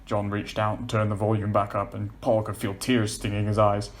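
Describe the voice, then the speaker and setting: A man's voice: fairly low pitch, completely flat delivery, normal speed. A man reading scripted fiction aloud as the narrator.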